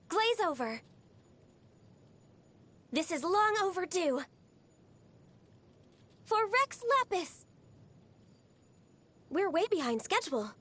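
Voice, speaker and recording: An actress voicing a gentle, dutiful young woman adventurer in a fantasy video game, polite and earnest. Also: very high voice, heavily acted. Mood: urgent, determined. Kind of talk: video game character voice lines. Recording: game audio with music underneath. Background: background music.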